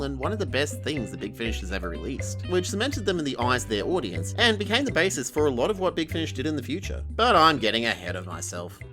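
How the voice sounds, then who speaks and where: A man's voice: fairly high pitch, a bit bright. An Australian man narrating a scripted review with informal asides, in a wry, blunt, colloquial manner. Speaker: video essay reviewer. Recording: music behind the voice. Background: background music.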